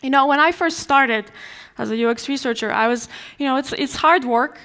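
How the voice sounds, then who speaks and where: A woman's voice: sits high, normal speed, fairly bright tone. A young woman from Poland with a Polish accent, giving a conversational conference talk on stage, often restarting her sentences with fillers. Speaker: conference speaker. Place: large dark conference stage before an audience.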